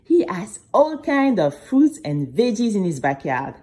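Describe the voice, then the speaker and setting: A woman's voice: medium pitch, unhurried. A woman playing a chatty, dramatic young girl who tells her own story straight to listeners in an audio drama for children. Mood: fond, confiding. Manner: expressive, confiding, exclamatory, addressing listeners directly. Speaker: narrator and main character.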